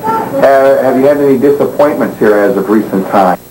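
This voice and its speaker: A man asks short, level questions in a mid-range voice. The room around him sounds echoey. A steady hiss runs under the old archival video recording. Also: medium pitch, moderate pace. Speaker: interviewer. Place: indoors in a residential compound.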